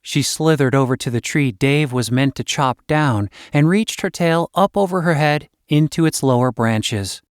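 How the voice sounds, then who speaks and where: A man's voice: on the high side, normal speed, hardly any pauses, clear, resonant tone, no echo, studio quality. A man reading a fantasy story as scripted narration, as an audiobook reader.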